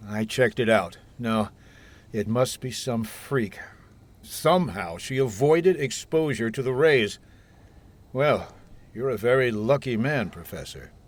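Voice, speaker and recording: An actor playing a brisk, authoritative military colonel in a radio drama. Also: male voice, mid-range voice, lively intonation. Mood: grave, puzzled. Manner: authoritative, commanding. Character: brisk male military colonel commanding an underground bunker.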